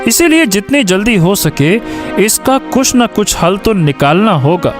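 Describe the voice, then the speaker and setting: Man doing a very high-pitched, snobbish schemer. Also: big pitch swings, unhurried, music in the background. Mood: scheming. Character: snobbish, scheming grown child of the household. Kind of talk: Hindi cartoon story acting.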